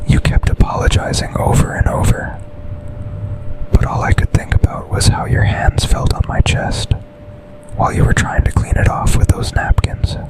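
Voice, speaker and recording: A man playing a smitten, commanding yet tender boss and secret lover in an intimate ASMR roleplay, speaking in a breathy, seductive whisper. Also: close to an everyday voice, rough recording. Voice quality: breathy, almost entirely unvoiced whisper. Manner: slow, deliberate, seductive, intimate.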